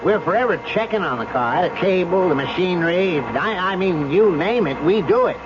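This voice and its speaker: A man's voice: high-pitched, expressive, moderate pace. An actor playing a folksy cable car operator with a showman's patter, in old-time radio drama style. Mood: defensive. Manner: showy tour-guide patter. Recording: noisy, dull old radio recording.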